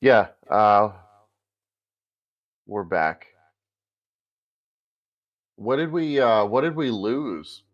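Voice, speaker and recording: A man with a stuffy, congested voice, co-hosting a casual tech podcast over a remote call and pausing often with filler sounds. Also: lowish voice, expressive, muffled recording. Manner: halting, many filler sounds. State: congested from a cold. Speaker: podcast co-host.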